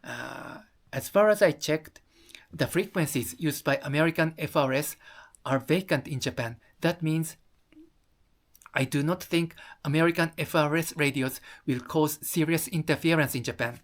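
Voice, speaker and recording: A presenter explaining things to viewers in halting, careful English with non-native phrasing. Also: medium pitch. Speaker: video presenter.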